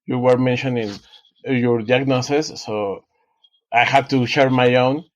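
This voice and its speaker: Author guest, male, Spanish native; mid-pitched, hesitant and full of restarts, muffled remote sound. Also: fairly even intonation. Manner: halting, many fillers and restarts. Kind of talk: casual interview talk.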